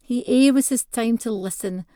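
A woman's voice: fairly high pitch, normal speed, no echo, studio quality. A woman with a North-East Scottish accent expressively reading a first-person short story in dialect. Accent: North-East Scottish (Doric). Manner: expressive, acts out dialogue in dialect.

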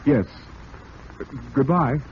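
Evasive husband, lively swooping intonation, earnest and flustered, muffled hissy vintage radio play. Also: male voice, medium pitch, slow. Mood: nervous, placating. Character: evasive, pleading kept husband juggling mistress and wife. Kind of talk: old-time radio drama acting.

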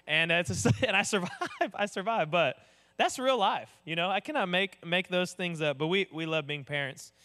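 A young man, an associate pastor with a booming voice, telling stories in a casual, animated sermon. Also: normal speed. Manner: animated storyteller, acts out voices.

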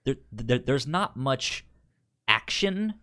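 A man's voice: medium pitch, animated, takes it slowly, bright, forceful tone. A man in a casual film discussion as a podcast co-host, often stammering and repeating the first sounds of words.

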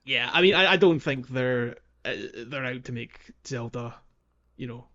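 A slightly muffled, lo-fi recording of a man with a medium-pitched voice. He talks informally as a podcast co-host, in the relaxed phrasing of a chat about games. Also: normal speed. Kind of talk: casual two-man video game discussion.

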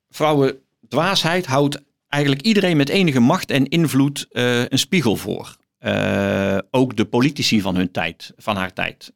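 Middle-aged man, medium pitch, professor in an interview, explanatory, thoughtful, halting, emphatic. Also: vocal fry. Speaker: guest, professor of philosophy. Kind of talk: explanatory interview talk with some reading aloud.

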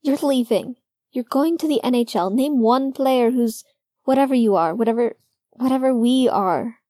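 A woman's voice: a little high, slow pace, darkish tone, pitched above the performer's own voice. A woman voicing a young male hockey player who is hurt and guarded, pushing back in a choked, tearful voice. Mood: tearful, defensive.

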